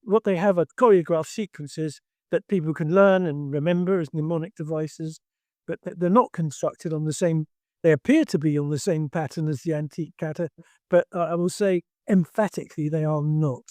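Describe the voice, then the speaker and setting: A man's voice: a little high, moderate pace, a little mellow, no echo, studio quality. An older man who teaches karate and talks emphatically in a discussion, restarting his sentences often.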